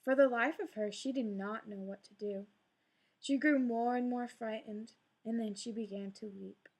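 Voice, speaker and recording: A woman reads a fairy tale aloud as a storyteller, shaping each line with gentle drama. Her voice sits a touch higher than most women's. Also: moderate pace, low-quality recording. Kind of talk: read-aloud storybook narration.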